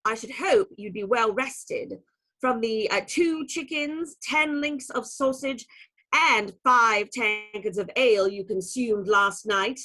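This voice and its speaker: Stern, sharp-voiced churchwoman. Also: fairly high pitch, takes it slowly, heavily acted, low-quality recording. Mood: scolding. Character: stern, devout female churchwoman running an orphanage. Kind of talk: radio play acting.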